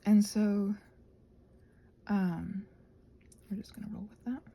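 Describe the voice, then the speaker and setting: A soft-spoken woman in a casual, rambling chat while she crafts, meandering and interrupting herself as she murmurs along. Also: medium pitch, little pitch movement, slow pace, vocal fry, slight background noise. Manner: meandering, digressive, self-interrupting. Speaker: craft vlogger and audio creator.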